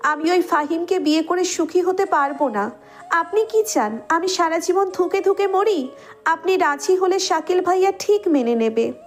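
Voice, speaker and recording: A woman acts out a lovesick young bride with a very high voice. She pleads stubbornly, in the emotional manner of an audio romance drama, over music. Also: expressive, normal speed. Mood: hurt, insistent. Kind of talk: audio love story acting.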